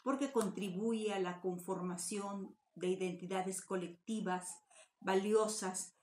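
An older woman with a Mexican Spanish accent, reading a prepared conference paper in a measured, formal way over a video call from her home study. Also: mid-range voice, fairly even intonation, darkish tone, slight background noise. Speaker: academic conference speaker. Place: home study with bookshelves, indoors. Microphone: webcam on video call. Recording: roomy echo.

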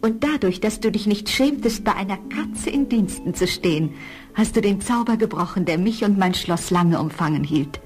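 A woman's voice: mid-range voice, lively intonation, normal speed, a little mellow, faint music behind, natural voice. A woman playing a noble young princess in a fairy-tale audio play, speaking in a formal, dignified manner. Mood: solemn.

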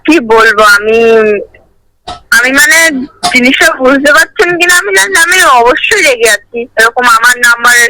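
A woman's voice: high voice, expressive, moderate pace, pushed and bright, rough recording. A woman in her twenties on the phone, answering a prank caller with sarcastic comebacks. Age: 20s. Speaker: prank call target. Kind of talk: phone conversation.

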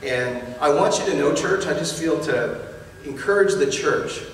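A man's voice: a little high, lively intonation, normal speed, slight background noise. A male pastor in his forties, talking with a joking, encouraging manner while speaking from a church baptism tank. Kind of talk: baptism address to congregation. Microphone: handheld mic, distant room pickup. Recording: echoey hall from far off.

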